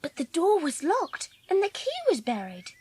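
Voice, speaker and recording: A woman's voice: high voice, sing-song, moderate pace, a little mellow, a little hiss or hum. A woman playing an earnest, lonely orphan girl in a dramatised audiobook, with childlike phrasing. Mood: eager pleading.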